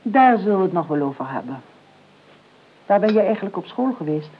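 An actress playing a sardonic, calculating older aunt in a Dutch radio play, speaking in a measured, dry way and drawing out key words. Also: female voice, medium pitch, big pitch swings. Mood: probing. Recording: tinny, band-limited old radio recording, clear noise.

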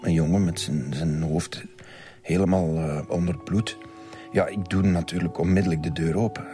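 A shaken, hurt male nurse, acted by a man in a Flemish radio drama. His low voice is breathy and pained, stumbling and repeating words over music. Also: fairly even intonation, close to an everyday voice. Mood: shaken, halting. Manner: halting, stammering, repeating words. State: in pain, dazed. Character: shaken, injured male nurse giving halting testimony. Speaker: nurse, witness.

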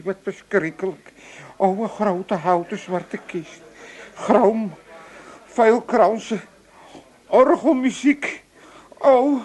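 A man's voice: high-pitched, darkish tone, rough recording. A man playing a grumpy, mocking old working-class Amsterdammer in a comic radio play, blustering and colloquial. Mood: mock dread. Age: older.

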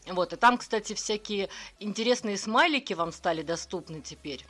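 A woman's voice: medium pitch, moderate pace. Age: adult. Sex: woman. Origin: southern Russia. Role: stream co-host. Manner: chatty, reads out donors, mid-range voice. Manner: chatty, thanks viewers warmly by name. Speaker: stream co-host reading donations. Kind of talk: casual live-stream chat.

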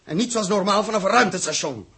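Een acteur die in een hoorspel uit de jaren zestig een felle, verontwaardigde ruimtekapitein speelt, gepassioneerd en beschuldigend. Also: male voice, sits high, expressive, quick pace, fairly bright tone, breathy edge, full character voice. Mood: pointed, sarcastic. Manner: impassioned, accusatory, rhetorical. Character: fiery, indignant male space captain confronting the government. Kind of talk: 1960s Dutch radio drama acting.

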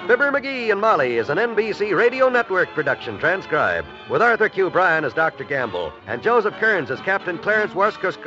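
A man's voice: high-pitched, sing-song, a little fast, hardly any pauses, pushed and bright. A man reading network announcements in a 1950s radio announcing style. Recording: muffled old radio recording, faint music.

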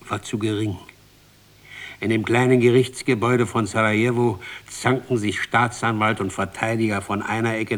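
A man narrating a literary script in an ironic, rhetorical manner. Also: lowish voice.

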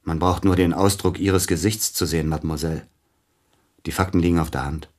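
A man's voice: low voice, fairly even intonation, brisk, a little mellow, lightly acted. A man playing an aging, bitter, melancholy celebrated pianist in a radio play, speaking in a formal, brooding, theatrical way. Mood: accusing, resigned.

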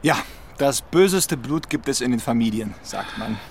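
An actor playing a skeptical, needling police interrogator with a dry manner in a German radio crime drama. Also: male voice, mid-range voice, lively intonation, moderate pace, creaky, slight background noise. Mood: dry, probing.

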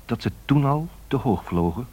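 An actor playing a reflective, sceptical narrator in a radio drama, with wry, rhetorical, musing delivery. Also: male voice, medium pitch, animated, moderate pace, darkish tone, slightly rough. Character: reflective, sceptical male narrator looking back on aviation pioneers.

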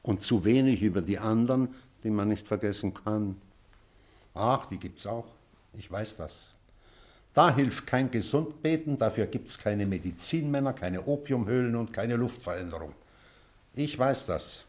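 Slow, weary old schoolteacher. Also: male voice, fairly low pitch, animated, darkish tone. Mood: urgent, reflective. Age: older. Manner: deliberate, pensive, measured delivery with weighty pauses. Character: weary, remorseful old male schoolteacher, reflective and wry. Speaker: actor. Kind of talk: German literary stage drama.

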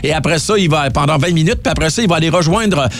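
A man with a Quebec French accent chatting casually as a radio host, conversational and colloquial with hesitant pauses. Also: fairly high pitch, animated, faint music behind. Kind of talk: casual music-news radio chat. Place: radio studio. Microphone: studio broadcast microphone.

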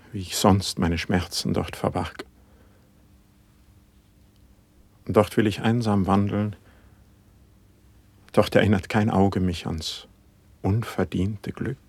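Dreamy young poet in an old verse radio play, husky and close-dry, reverent, declamatory. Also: male voice, low voice, darkish tone, no echo, heavily acted. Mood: humble, dreamy. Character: dreamy young male poet, rapt and humble.

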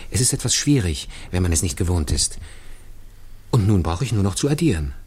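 An actor in a 1960s German radio drama playing an earnest, deferential programmer, explaining precisely and step by step. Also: male voice, deep voice, big pitch swings, fairly quick, a little mellow, slightly rough, heavily acted. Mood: concentrated, explaining. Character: earnest, deferential male programmer who calculates by hand.

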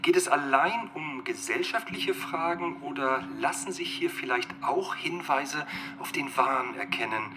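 A man's voice: on the high side, a little slow, noisy background. A man posing prepared questions as an interviewer. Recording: music behind the voice.

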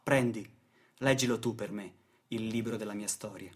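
A man delivering a dramatic narrative monologue in deliberate short phrases, like a stage storyteller. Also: fairly low pitch, steady tone, husky.

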